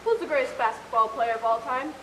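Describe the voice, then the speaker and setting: A woman's voice: high-pitched, fast talker, fairly bright tone. A young woman playing a probing, prosecutor-like questioner in an amateur one-act stage play. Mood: pointed, accusing. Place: indoor stage, recorded from a distance. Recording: echoey stage, distant mic, faint hiss.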